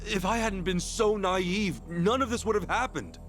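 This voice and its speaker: A man's voice: high-pitched, normal speed, over music, natural voice. A man voicing an earnest, apologetic ex-gangster hero in a dramatic video game cutscene. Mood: guilty. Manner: apologetic, sincere. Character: earnest, guilt-ridden ex-yakuza hero, adult man.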